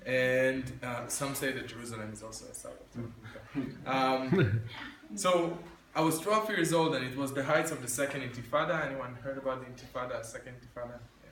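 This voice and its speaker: A man's voice: medium pitch, brisk, a bit bright, slight background noise. Young man with many hesitations. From Israel. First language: Arabic. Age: 20s. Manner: hesitant, many ums and restarts, wry humor. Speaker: guest speaker, military officer. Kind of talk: informal presentation to students. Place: indoor room with an audience. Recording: roomy echo from a distant mic.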